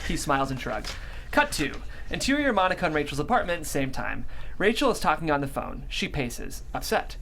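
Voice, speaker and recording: Animated man reading stage directions. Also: fairly high pitch, fairly quick, creaky, a little hiss or hum. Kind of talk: scripted narration at a table read.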